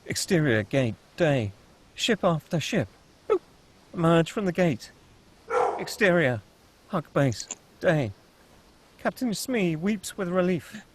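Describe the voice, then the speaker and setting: A man's voice: fairly high pitch, very animated, takes it slowly, pauses often. A man narrating screenplay stage directions in a scripted table-read style.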